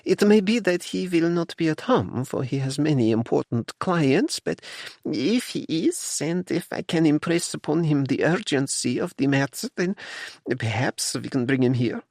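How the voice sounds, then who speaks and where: A man's voice: on the high side, expressive, moderate pace, a little mellow, dry recording, clean studio sound, voice pushed up. A man voicing a polite, earnest society lady with doubts, speaking formally and carefully in an audiobook. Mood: doubtful. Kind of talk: audiobook character dialogue.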